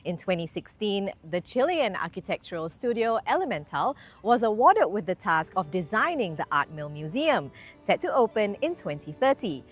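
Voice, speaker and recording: A woman reporter reading scripted narration for a television feature in a polished, clearly phrased way. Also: medium pitch, very animated, moderate pace. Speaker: presenter and reporter.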